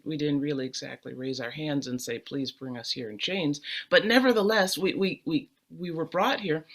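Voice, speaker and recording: A woman's voice: low voice, fairly bright tone. A middle-aged woman, a string player, speaking on a panel, earnest and emphatic, often repeating words for weight. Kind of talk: personal storytelling on a panel.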